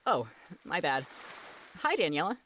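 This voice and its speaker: A woman's voice: low-pitched, sing-song, a little slow, a bit bright, a little hiss or hum, full character voice. A woman playing an upbeat, cheeky and loyal young boy in an audio drama.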